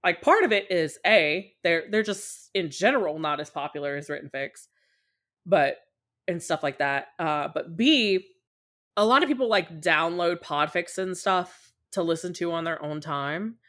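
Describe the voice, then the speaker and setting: A woman with a somewhat low-pitched voice chats casually as a guest on a podcast. She is talkative and candid, explaining things in loose, conversational runs and slipping into short quoted asides. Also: lively intonation, measured pace, fairly bright tone. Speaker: podcast guest, podfic creator.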